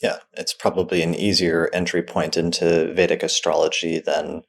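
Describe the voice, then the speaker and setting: Male podcast host, very deep voice, casual interviewer prompts. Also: level tone, normal speed, creaky. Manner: brief, friendly prompts with fillers. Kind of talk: interview questions.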